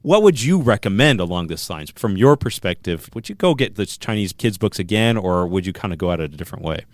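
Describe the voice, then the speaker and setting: A man co-hosting a podcast, interviewing a guest in a quick, encouraging way. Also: deep voice, expressive, no room sound. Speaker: podcast co-host. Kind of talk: casual interview chat.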